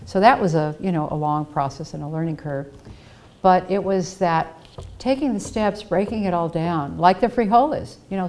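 A woman's voice: fairly low pitch, darkish tone. An older woman giving a reflective personal talk at a podium in a library auditorium, telling stories in an earnest way, on a headset mic. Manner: earnest, reflective storyteller, acts out quoted voices. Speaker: guest speaker.

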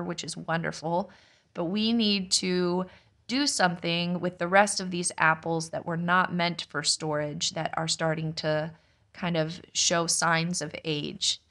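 A young woman, a mother, casually narrating a home cooking vlog in a relaxed, explanatory way. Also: on the low side. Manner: relaxed, explanatory, chatty with light laughs. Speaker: homestead vlogger, mother.

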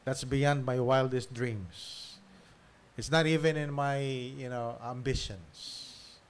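Halting Filipino man at a noisy pulpit. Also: medium pitch, fairly even intonation. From Philippines. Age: middle-aged. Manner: halting, with repeated amens. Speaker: pastor introducing guest speaker. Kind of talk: informal church address. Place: small church hall, at pulpit. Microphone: pulpit microphone.

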